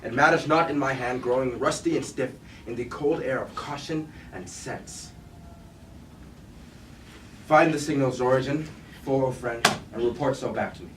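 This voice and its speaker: A man's voice: medium pitch, normal speed, long pauses, close to an everyday voice. A man playing a grave, war-weary robot warrior leader haunted by guilt, in solemn, declamatory Greek tragedy style at a staged reading. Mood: weary, resigned. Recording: echoey room from far off, hiss.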